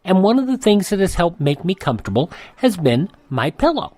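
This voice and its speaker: A man's voice: high-pitched, sing-song, a little fast, warm tone. An older man hosting a radio show, announcing and reading ads in a folksy, rambling, conversational way.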